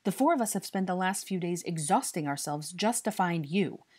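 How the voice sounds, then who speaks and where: A woman's voice: fairly low pitch, animated, normal speed, darkish tone, slightly rough. A woman voicing a stern, furious young male investigator who scolds and interrogates with exasperated force in an audiobook story. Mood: heated, berating.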